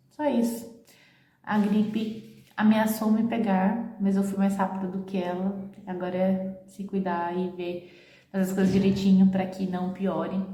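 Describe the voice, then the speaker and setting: A Brazilian woman chatting casually at home for a reading vlog, often ending sentences with a quick "right?". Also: mid-range voice, warm tone. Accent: Brazilian Portuguese. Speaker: book vlogger. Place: at home, echoey room. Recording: very echoey room, faint background hiss.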